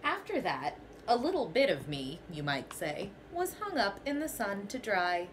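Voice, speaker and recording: A woman's voice: mid-range voice, wildly swooping pitch, unhurried, a little hiss or hum. A young woman teacher reading a children's picture book aloud in a small bedroom, telling it expressively in the first person.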